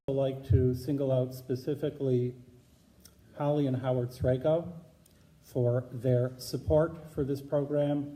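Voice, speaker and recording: A man, a rabbi, giving a measured teaching talk to a congregation in a synagogue hall. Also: medium pitch, warm tone. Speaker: rabbi introducing a speaker.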